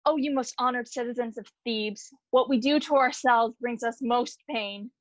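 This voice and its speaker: High-voiced, horrified palace messenger played by a woman. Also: normal speed, no echo, muffled recording, lightly acted. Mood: grave, horrified. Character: horrified palace messenger reporting gruesome deaths. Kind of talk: dramatic theatrical narration of Greek tragedy.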